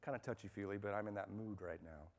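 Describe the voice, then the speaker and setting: Energetic man lecturing in a reverberant hall. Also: on the low side, fast talker. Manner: energetic and conversational, asks the audience questions. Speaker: speaker at a management workshop. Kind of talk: live seminar talk to an audience. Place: large hall with an audience.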